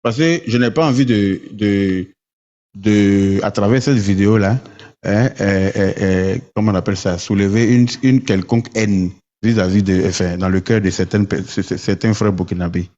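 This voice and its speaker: A man's voice: on the low side, normal speed, a little mellow, dull audio. A man from Côte d'Ivoire, stammering and repeating words while ranting at his viewers with rhetorical questions. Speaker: online commentator. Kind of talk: heated political rant to viewers.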